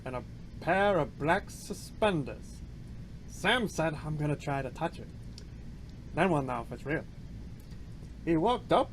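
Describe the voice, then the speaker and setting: A man reads a scary story aloud, taking his time and drawing words out dramatically. His voice is on the higher side and moves in lively swoops. The recording carries faint background noise. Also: low-quality recording. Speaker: library staff reader. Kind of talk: spooky story reading.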